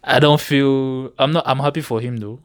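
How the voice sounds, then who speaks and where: A man's voice: medium pitch, steady tone, moderate pace, clean tone, no echo, studio quality. A man, chatting casually about sport as a guest in an interview. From Cameroon.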